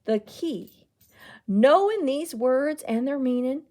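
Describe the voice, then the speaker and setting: A feisty old storybook aunt voiced by a woman reader who talks in a folksy, emphatic way. Her voice swings widely in pitch and takes its time, moving between scolding and tenderness. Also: lively intonation, slow pace, warm tone. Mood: earnest, wistful. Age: older. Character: feisty, indignant, wistful old aunt who loves books.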